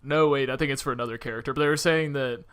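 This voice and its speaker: A man's voice: mid-range voice, steady tone, racing, fairly bright tone, smooth. A man co-hosting a film podcast, talking casually and animatedly, often with a giggle in his speech.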